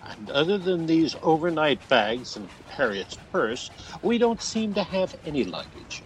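A man's voice: a little high, very animated, unhurried, a little hiss or hum, low-quality recording. A man playing a wry, jovial older husband who likes to needle, in an audio fantasy drama. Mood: teasing.

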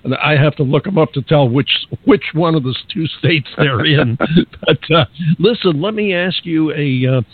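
A man hosts a radio interview in a genial but halting way, restarting his sentences. His voice sits fairly high. The sound is dry and tinny, narrow like a phone line. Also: slightly rough, no echo. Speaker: radio show host.

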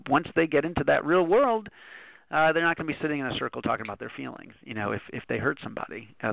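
Animated gravelly man on a phone line. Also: mid-range voice, no room sound. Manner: animated, repeats words as he starts sentences. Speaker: author and guest. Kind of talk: phone-in interview. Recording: dry, tinny phone line.

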